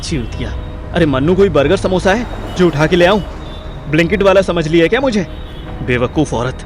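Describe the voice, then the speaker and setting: Man acting high-pitched sarcastic young man. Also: animated, moderate pace, soft background music, close to an everyday voice. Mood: irritated. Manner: grumbling, wisecracking. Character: reluctant, sarcastic, foul-mouthed young man called Gaurav. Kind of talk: Hindi audio drama dialogue.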